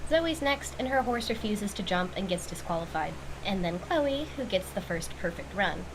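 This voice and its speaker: A woman's voice: mid-range voice, animated, moderate pace. Wry woman commentator over background noise. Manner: wry, critical. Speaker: video commentator reviewing a cartoon. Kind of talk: recap commentary.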